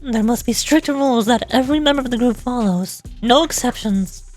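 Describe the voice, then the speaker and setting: A woman's voice: fairly high pitch, moderate pace, a little mellow, soft background music, close to an everyday voice. Stern female enforcer for a game story, acted by a woman streamer, severe, indignant, commanding. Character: stern, indignant, strict female officer of a gang.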